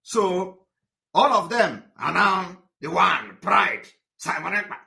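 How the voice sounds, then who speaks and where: A man's voice: sits high, slow, vocal fry, bright, forceful tone. A man, addressing his followers in a livestream and switching between English and Igbo. He talks in a combative way and often stumbles over repeated words and fillers.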